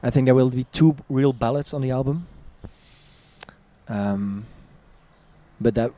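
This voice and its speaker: Hesitant, chatty man with a mellow voice. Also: medium pitch, a little slow. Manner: hesitant, chatty, laughs often. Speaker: vlog host, singer-songwriter. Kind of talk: casual conversation.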